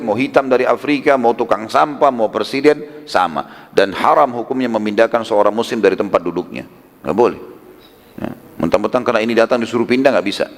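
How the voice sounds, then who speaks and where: A man preaching a religious lecture, conversational and emphatic, with rhetorical questions and casual asides. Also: mid-range voice. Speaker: Islamic preacher. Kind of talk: religious lecture retelling prophetic history. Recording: long hall reverb.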